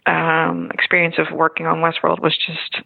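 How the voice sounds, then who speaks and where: A Dutch-born actress answering interview questions in a hesitant way, with many fillers and restarts. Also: female voice, sits low, sing-song, normal speed, creaky. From Netherlands. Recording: tinny phone-like line.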